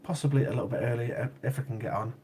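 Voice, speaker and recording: A man's voice: medium pitch, fairly even intonation, quick pace, dark tone, a little hiss or hum. Sniffly, chatty man. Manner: chatty, repeats himself, laughs easily. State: sniffly with a cold. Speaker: livestream host. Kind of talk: casual chat with live chat viewers.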